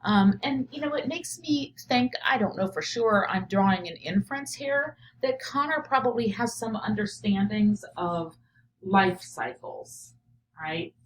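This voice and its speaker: A woman teacher reading a picture book aloud and pausing to reflect on it out loud, often checking in with "right?". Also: mid-range voice, lively intonation, poor audio. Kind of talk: read-aloud of a picture book with think-aloud commentary.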